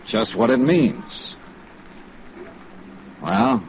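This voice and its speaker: An actor playing a wry, weary, persistent insurance investigator in an old radio drama, with clipped hard-boiled delivery. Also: male voice, medium pitch, expressive, very slow, a little hiss or hum. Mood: pressing. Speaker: lead detective and narrator. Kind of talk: 1950s radio drama acting, first-person narration. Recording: dull, band-limited old radio recording.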